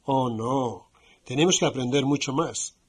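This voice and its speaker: A man playing a modest American sergeant who speaks Spanish in a language-course dialogue, slowly and clearly for learners. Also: mid-range voice. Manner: slow and clearly spoken for learners.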